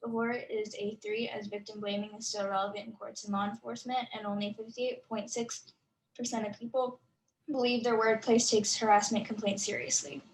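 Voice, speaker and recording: A young woman presenting for her group, reading a prepared text at a steady, measured pace over a video call. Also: mid-range voice, low-quality recording. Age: young adult. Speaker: youth ambassador presenter.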